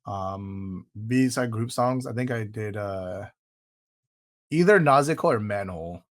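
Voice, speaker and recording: A man's voice: lowish voice, expressive, slow. A man co-hosting a podcast in casual chat, rambling with lots of fillers and dropping "dude" often; his first language was Vietnamese. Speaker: podcast co-host.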